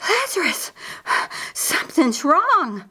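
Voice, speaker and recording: A woman's voice: very high-pitched, sing-song, slow pace, bright, forceful tone, voice pushed up, exaggerated voice. A woman voicing a frantic young woman who fears for her brother, urgent and emphatic, in an audiobook. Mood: panicked, breathless.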